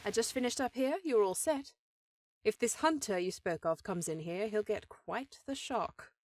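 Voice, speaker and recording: A woman's voice: mid-range voice, lively intonation, moderate pace, no echo, close to an everyday voice. A woman playing a powerful elven sorceress in a fantasy audio drama, an encouraging sister who talks in an earnest, affectionate way. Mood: cheerful, helpful.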